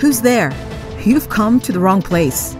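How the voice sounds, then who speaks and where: A woman's voice: medium pitch, animated, measured pace, music in the background, lightly acted. A woman playing a defiant, startled government agent under attack in an audio drama. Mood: alarmed.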